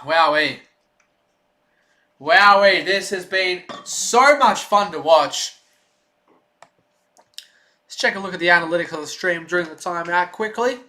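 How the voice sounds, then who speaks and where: Young guy doing fan play-by-play on a stream, bright kinda high voice, getting hoarse. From Australia. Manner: excited rooting fan, loud on big plays, chatty in lulls. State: losing his voice. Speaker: stream host.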